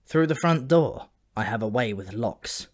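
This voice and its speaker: A man's voice: medium pitch, lively intonation, unhurried, no echo. Ancient dwarf for a fantasy audiobook , acted by a man: dyspeptic, gloomy, rambling, grudgingly friendly, recorded dry. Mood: weary, complaining.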